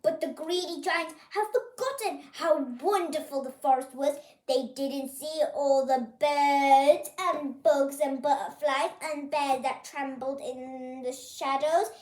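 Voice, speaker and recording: A storyteller reading a picture book aloud for children, expressive and pointing things out to young listeners. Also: high voice, takes it slowly, echoey room. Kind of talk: animated picture-book read-aloud for kids.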